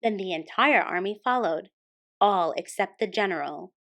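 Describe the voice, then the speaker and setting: A woman with a mid-pitched voice narrates a children's picture book. She is a storytime host who reads expressively from the text. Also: lively intonation, normal speed, creaky, fairly bright tone.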